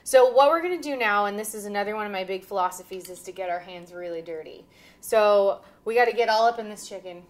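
Woman in her thirties, cooking show host, mid-pitched, upbeat and instructive, kitchen demo. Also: fast. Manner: upbeat, instructive, laughs often. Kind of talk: casual cooking demonstration. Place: home kitchen, indoors.

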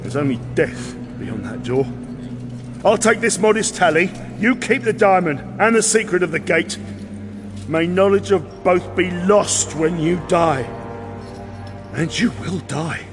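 An actor playing a weary, blunt mercenary captain in an audio drama, plain-spoken and used to command. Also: male voice, sits high, lively intonation, unhurried, music in the background. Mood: wary, resigned.

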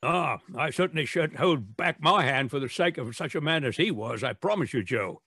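A smug, callous undertaker's man in a radio play. His voice is raspy and sharp, with lively, sardonic swings of pitch. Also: moderate pace, dry recording, studio quality, exaggerated voice.

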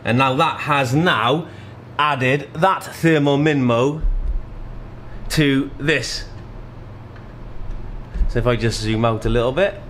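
A man's voice: mid-range voice, takes it slowly, pauses often, fairly bright tone, slight background noise. A man in his thirties giving an informal hands-on tutorial, explaining a product step by step. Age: 30s. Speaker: product demonstrator. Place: small indoor showroom.

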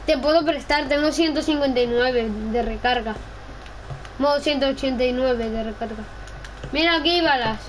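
A woman's voice: high voice, normal speed, poor audio. A woman chatting casually while gaming, insistent and often repeating her requests. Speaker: gamer. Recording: faint background noise.

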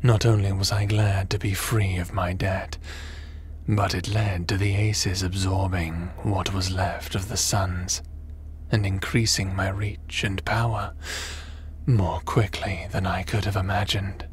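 A man playing a cold, scheming crime boss in a sci-fi audio drama, speaking in a menacing, measured, smug and theatrical way. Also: sits low, flat delivery, slight background noise. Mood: smug, gloating.

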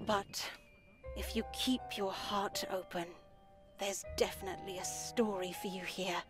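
A woman playing an elderly nun in a game, speaking formally and deliberately with a gently controlling manner. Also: mid-range voice, fairly even intonation, music in the background, natural voice. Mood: solemn, instructive. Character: stern, composed elderly nun in charge of a convent. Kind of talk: visual novel voice acting.

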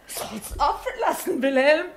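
An actress playing a hard, scheming young wife in a 1960s radio play, husky, sharp-tongued and accusing. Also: female voice, sits high, very animated, unhurried, background noise, full character voice. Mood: bitter, defiant.

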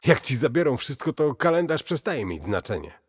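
Horror audio play role: scornful, fatalistic avenger acted by a man, raspy, animated pitch, cavernous sound. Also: moderate pace. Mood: bitter, defiant. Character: desperate, bitter, scornful man bent on revenge. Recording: cavernous long reverb.

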